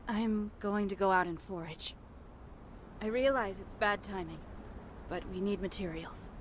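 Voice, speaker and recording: A woman's voice: mid-range voice, measured pace, a little hiss or hum, lightly acted. An actress playing a practical, concerned female soldier in a game, speaking in a plain, matter-of-fact way.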